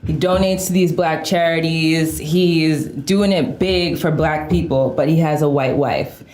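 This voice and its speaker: Young woman, low voice, direct talker, podcast co-host, echoey room. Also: measured pace, darkish tone. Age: young adult. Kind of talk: casual chat.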